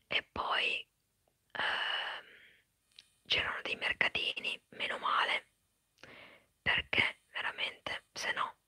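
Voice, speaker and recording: A woman whispering quickly and chattily in an ASMR live stream, answering viewers' comments. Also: bright, forceful tone, no echo. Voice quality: breathy whisper. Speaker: ASMR creator.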